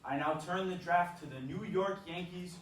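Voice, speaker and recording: A man's voice: high voice, moderate pace, natural voice. A man playing a formal league official making a ceremonial announcement in a staged play reading. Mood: formal, welcoming. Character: formal male baseball league draft official. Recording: very echoey, distant, faint noise.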